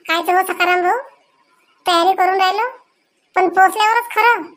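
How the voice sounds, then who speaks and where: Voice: very high-pitched, fairly even intonation, normal speed, a bit bright. A performer voicing a flustered, evasive village husband in a comedy cartoon, with a Varhadi Marathi accent, hurriedly repeating words. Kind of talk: exaggerated cartoon comedy dialogue.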